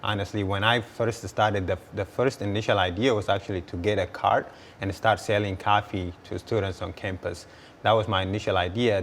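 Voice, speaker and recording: Deep-voiced young man. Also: a little hiss or hum. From Ethiopia. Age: 20s. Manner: earnest, repeats words when restarting. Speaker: guest entrepreneur. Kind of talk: broadcast interview answers.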